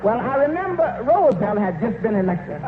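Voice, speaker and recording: An actor playing a wry tavern waiter on the witness stand in a 1940s radio comedy. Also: male voice, high voice, sing-song, fairly quick, crowd noise. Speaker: supporting comic actor. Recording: echoey tinny noisy 1940s radio.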